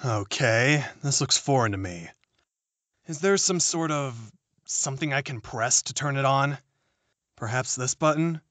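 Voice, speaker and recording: A man's voice: medium pitch, animated, a little slow, a bit bright, no echo. An actor playing a jittery, self-serving male politician on the run, muttering to himself in an audio drama. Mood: fumbling, hopeful.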